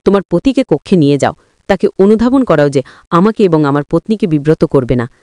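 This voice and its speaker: Woman acting a domineering older father, animated delivery. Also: sits low, a little fast, no room sound, pitched below the performer's own voice. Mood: commanding. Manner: commanding, indignant. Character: besotted, domineering older father with a quick temper.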